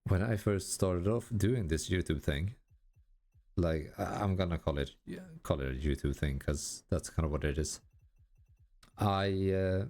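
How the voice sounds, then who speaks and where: A Swedish man, a gaming YouTuber, talking casually in halting, self-correcting sentences and laughing at himself over his English pronunciation. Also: low-pitched, a little mellow, slight background noise. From Sweden. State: tired. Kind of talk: casual commentary while gaming.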